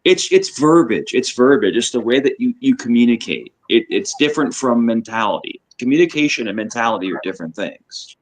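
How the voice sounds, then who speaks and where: Guest, male, Virginia-tinged voice, middle pitch, quick restarts, casual talk. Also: animated, poor audio. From New York. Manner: talks in quick bursts, restarts, shifts between two accents. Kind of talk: casual chat.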